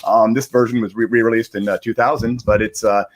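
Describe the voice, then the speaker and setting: A man chatting casually on a livestream, explaining in a halting, rambling way and repeating words as he searches for phrasing. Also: lowish voice, flat delivery, a little hiss or hum. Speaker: digital media strategist, guest reader.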